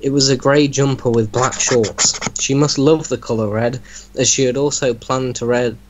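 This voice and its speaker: A man's voice: medium pitch, steady tone, moderate pace, background noise. A man giving a dramatic reading of an amateur story, breaking off into casual, mocking banter. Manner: breaks off to mock the text he reads. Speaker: reader in an online reaction group.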